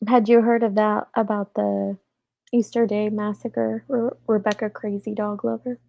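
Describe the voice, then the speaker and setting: A congested woman in her forties chats with her live audience, her voice rough and gravelly with a stuffy edge. She rambles easily and laughs between thoughts. The sound is dull and dry, close to the mic. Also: medium pitch, little pitch movement, moderate pace, no room sound. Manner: chatty, laughs often, asides to chat viewers. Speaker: livestream host. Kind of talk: casual chat with live chat.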